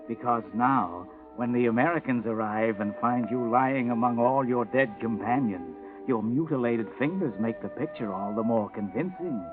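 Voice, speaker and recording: A man's voice: medium pitch, phone-quality sound, natural voice. With music underneath and a thin vintage radio sound, a man acts as an ominous male storyteller. He narrates 1940s suspense in a sardonic manner, as if addressing the villain himself. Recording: band-limited old radio, music underneath.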